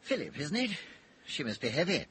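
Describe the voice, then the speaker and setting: A suave middle-aged gentleman in a radio play, with hugely varied intonation. He is courteous and mildly authoritative, over faint background noise. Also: on the high side, huge pitch swings, a little fast. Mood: courteous, amused. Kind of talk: radio drama acting.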